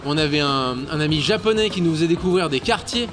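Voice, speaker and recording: A man's voice: on the high side, animated, fast talker, fairly bright tone. A man in his thirties, a guest and radio show co-host, chatting casually and hesitantly in a bar, with many fillers and stammered repeats. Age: 30s. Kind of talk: casual interview chat. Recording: clear music behind the voice. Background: music.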